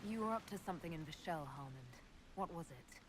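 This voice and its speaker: A woman playing a composed noble inquisition leader in a video game, firm, measured and direct. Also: low-pitched, lively intonation. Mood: stern. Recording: clear background noise in game.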